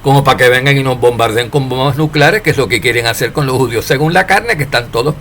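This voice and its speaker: A man preaching an informal sermon to his followers, asking rhetorical questions and swinging between loud and soft. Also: medium pitch, quick pace, slight background noise. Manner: preachy, rhetorical questions, animated rises and drops in loudness.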